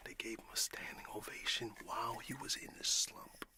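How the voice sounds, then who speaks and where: A man playing a warm, excited father who talks affectionately and in a folksy way to his young son in a breathy whispered ASMR roleplay. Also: medium pitch. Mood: excited, admiring. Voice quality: breathy whisper with gravelly creak. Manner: unhurried, folksy, affectionate, with long pauses.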